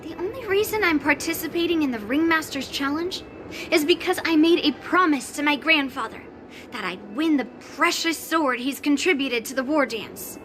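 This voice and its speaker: A woman voicing a proud, sharp-tongued young swordswoman in a game cutscene, blunt and teasing. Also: sits high, lively intonation, normal speed. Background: game score music.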